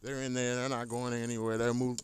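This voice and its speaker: A man's voice: mid-range voice, little pitch movement, fairly quick. An American man giving a casual, unscripted gear walkthrough as a hobby fisherman, rambling in a folksy, informal way into a tablet. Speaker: hobby kayak fisherman showing his gear. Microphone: handheld tablet, moving nearer and farther. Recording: noisy tablet audio, distance from mic shifting.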